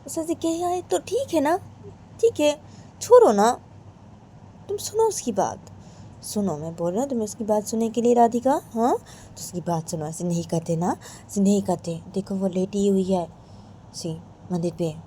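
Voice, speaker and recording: A woman voicing a patient mother who gently pleads with her daughter. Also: medium pitch, expressive, moderate pace, long pauses, slight background noise, close to an everyday voice. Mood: coaxing. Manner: soothing, pleading, half-whispered at times. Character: coaxing gentle mother, patient and soft-spoken.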